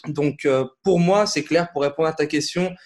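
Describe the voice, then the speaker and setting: A man's voice: a little high, normal speed, a little mellow. Hesitant man talking easily. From Switzerland. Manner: hesitant, many fillers and restarts. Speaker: podcast guest, CrossFit coach. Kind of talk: casual podcast interview.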